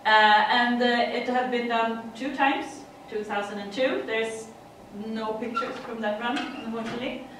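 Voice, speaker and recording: A woman's voice: fairly high pitch, fairly even intonation, moderate pace, hardly any pauses, fairly bright tone, a little hiss or hum. A middle-aged Swedish woman with a Swedish accent, giving a chatty conference talk in English with frequent laughs and fillers. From Sweden. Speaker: conference speaker. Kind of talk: presentation talk. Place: dim conference room. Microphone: far room mic. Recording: echoey room from far off.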